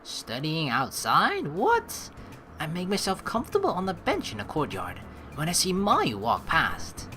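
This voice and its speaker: A woman's voice: deep voice, wildly swooping pitch, measured pace, pushed and bright, slight background noise. A young adult woman giving casual let's play commentary in a rambling, conversational way. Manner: rambling, conversational, peppered with "you know". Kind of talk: casual game commentary mixed with reading dialogue aloud.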